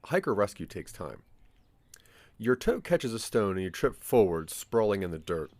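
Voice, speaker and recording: Male narrator for interactive audio drama, dramatic storyteller, lower register, animated delivery. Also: normal speed, no echo. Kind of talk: scripted second-person audio drama narration.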